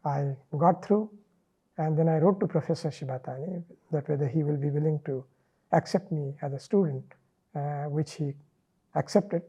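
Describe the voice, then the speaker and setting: A middle-aged man from India, a native Marathi speaker with an Indian English accent, talking in an interview in a measured, thoughtful way with many pauses. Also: a little high, dark tone, husky, low-quality recording. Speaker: interviewee, linguist. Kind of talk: relaxed reflective interview.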